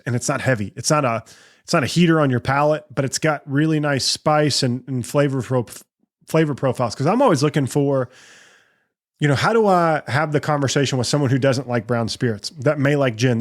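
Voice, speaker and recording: Male host, mid-pitched voice, casual chat with winding questions. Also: a little fast. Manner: conversational, asks long rambling questions. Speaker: podcast host. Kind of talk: casual podcast interview.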